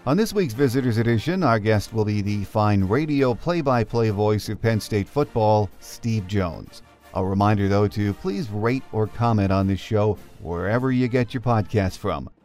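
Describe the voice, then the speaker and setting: Low-voiced American sports podcast host, a man. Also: moderate pace, a little mellow, faint music behind. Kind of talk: scripted podcast narration and interviewing.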